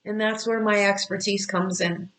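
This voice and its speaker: A woman's voice: mid-range voice, fairly even intonation, normal speed, fairly bright tone, low-quality recording. A woman in her fifties, an astrology coach, giving blunt, scolding, motherly advice straight to her viewers. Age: 50s. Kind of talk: direct-to-camera advice talk.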